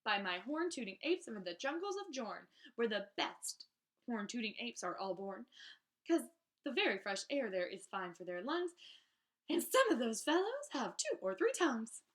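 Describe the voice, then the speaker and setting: A woman's voice: on the high side, sing-song, normal speed, a bit bright. A woman reading rhyming children's verse aloud in a theatrical, emphatic teacher's manner, drawing out key phrases.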